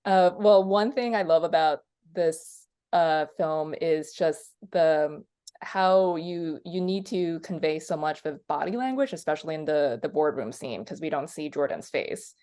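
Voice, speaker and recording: A woman's voice: sits low, measured pace, mellow, smooth. A woman hosting an interview, asking long conversational questions. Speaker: interview host.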